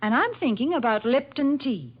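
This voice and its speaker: Lively, high-voiced radio spokeswoman. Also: moderate pace, slightly rough. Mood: cheerful, persuasive. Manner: chatty, polished, persuasive. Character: cheery, prim female radio sponsor spokeswoman. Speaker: commercial spokeswoman. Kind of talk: 1940s radio ad patter. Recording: dull lo-fi vintage radio recording.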